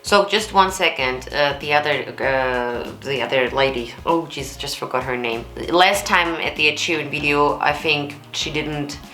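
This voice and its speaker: A woman with a low alto voice, giving blunt, candid and expressive reaction commentary as a vocal coach. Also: normal speed, hardly any pauses, roomy sound, over music. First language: German.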